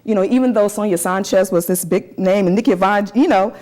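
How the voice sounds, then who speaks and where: A woman's voice: medium pitch, fast, mellow. A woman speaking as an interview guest, telling her own story in an earnest, emphatic way and repeating phrases for emphasis. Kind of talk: conversational interview, personal storytelling.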